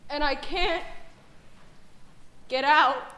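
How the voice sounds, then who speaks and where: High-pitched young woman, slow dramatic monologue. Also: bright, forceful tone. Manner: halting, short broken phrases with heavy pauses. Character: traumatized, trafficked sixteen-year-old girl, anguished and fearful. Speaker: stage performer in a high school production. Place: indoor high school stage performance. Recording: roomy stage sound with faint noise.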